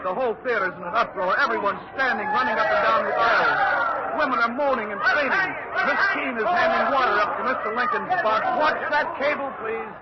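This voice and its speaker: Heavy crowd din, muffled vintage radio, on-the-spot reporter, urgent, high-pitched, clipped, insistent. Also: male voice, very noisy. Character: male field radio reporter pushing urgently through a crowd. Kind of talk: 1940s radio drama acting.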